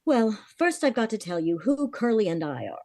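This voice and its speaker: A woman's voice: fairly high pitch, big pitch swings, normal speed, a little mellow, no echo, studio quality. A woman playing a worried, frank young heiress of twenty-four in a vintage-style radio drama, confiding her story earnestly.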